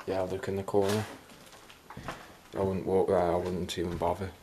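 A very low-voiced man chats his way through derelict rooms, sometimes dropping to a half-whisper. It is recorded on a handheld camera in a hollow, echoing space. Steady hiss sits under everything. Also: moderate pace. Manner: chatty, half-whispered asides. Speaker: urban explorer. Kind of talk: casual filmed commentary. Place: abandoned house indoors. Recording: roomy and noisy camera audio.

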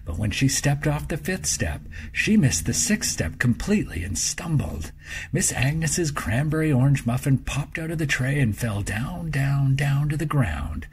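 A man reads a children's story in an expressive, deliberate storytelling manner, with a husky voice. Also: medium pitch, slight background noise. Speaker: author reading own children's story. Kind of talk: scripted storybook narration for young children.

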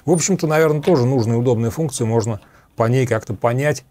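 A man's voice: mid-range voice, a little mellow. A middle-aged man presenting a piece of equipment in an explanatory, methodical way, restating and clarifying terms as he goes. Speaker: host reviewing radio equipment. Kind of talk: explanatory product demonstration. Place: small room at a computer desk, indoors.

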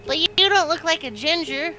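A woman's voice: high voice, a little fast, music in the background. A woman talking casually as a player in a game's voice chat. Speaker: fellow player.

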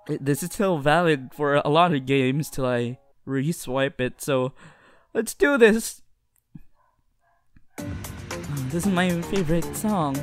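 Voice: mid-range voice, close to an everyday voice. A performer voicing a nervous, sweet teenage boy hero-in-training who stammers and mumbles in a fan roleplay audio. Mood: excited. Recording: music behind the voice.

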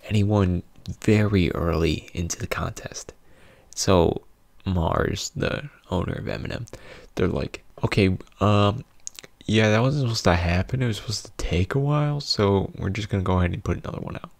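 A young man telling a casual comedic story in a conversational way, with mock-dramatic pauses, close on a studio mic. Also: lowish voice, creaky, no room sound. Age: young adult. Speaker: video host, storyteller. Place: small indoor home studio. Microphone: close studio condenser mic with pop filter.

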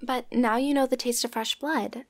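Woman acting raspy, snarky vampire friend. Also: a little high, fairly even intonation, moderate pace, dry recording, natural voice. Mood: dry, annoyed. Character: snarky, level-headed female vampire friend. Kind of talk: audio roleplay acting.

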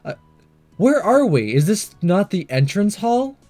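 Mellow male gamer with lively pitch. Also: unhurried, faint music behind. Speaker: gaming video creator. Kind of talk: let's play commentary, reading a visual novel aloud.

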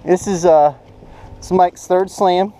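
A man casually chatting and hosting a fishing outing, with excitable, teasing banter. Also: high voice, a little slow, slight background noise. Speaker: host filming a fishing outing. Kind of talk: casual chat while fishing. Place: outdoors on a small open boat. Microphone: action camera on the boat. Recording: music over outdoor boat audio. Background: music and boat sounds.

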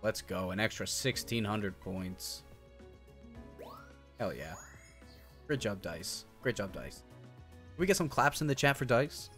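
A man's voice: fairly low pitch, lively intonation, a little slow, long pauses, fairly bright tone. A man doing casual game stream commentary, chatty and slangy, thinking aloud as he plays. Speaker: streamer, show host. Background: music.

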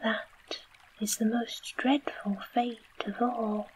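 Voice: mid-range voice, level tone, takes it slowly, slight background noise, voice pushed up, lightly acted, rough recording. A storyteller whispering the part of an enchanted field mouse trapped as a button. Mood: mournful. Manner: whispered, mournful.

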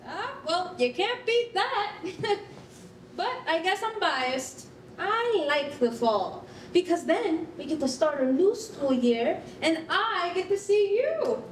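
A woman's voice: sing-song, slow, full character voice. A woman playing a friendly, patient, upbeat school counselor in a staged reading. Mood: cheerful. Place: concrete rehearsal hall, live staged reading. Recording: roomy, distant mic, noisy rumble.

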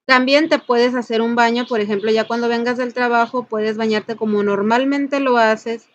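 A woman's voice: a little high, fairly even intonation, moderate pace. A woman with a Mexican accent giving an informal spoken tutorial, instructing the listener directly and with emphasis. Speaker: folk ritual practitioner and channel host. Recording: dull, lo-fi voice recording.

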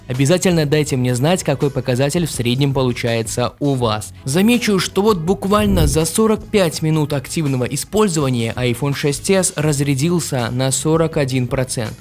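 A man's voice: mid-range voice, darkish tone. Slightly sick man reviewing phones. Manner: playful "grandpa" persona, calls viewers grandkids. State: sick, strange voice. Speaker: tech reviewer.

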